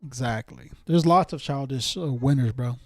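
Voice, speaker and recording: A man's voice: mid-range voice, moderate pace, no echo. A man around thirty talking casually to viewers as a streamer, in a rambling, slangy way that often circles back and repeats. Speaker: live streamer chatting with viewers. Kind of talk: casual chat.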